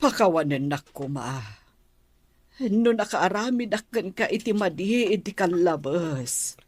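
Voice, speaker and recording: A woman's voice: on the low side, animated, a little slow, a little mellow, breathy edge, no echo. A woman playing a contrite middle-aged Filipino aunt in a radio drama. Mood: contrite, grateful. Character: contrite middle-aged aunt, softened toward her niece.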